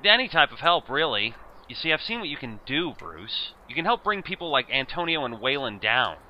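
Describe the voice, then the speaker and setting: A man's voice: mid-range voice, big pitch swings, a little fast, dull audio, lightly acted. A man acting a nerdy, bullied teenage schoolboy in an amateur audio drama, with a theatrical, wordy, pleading manner.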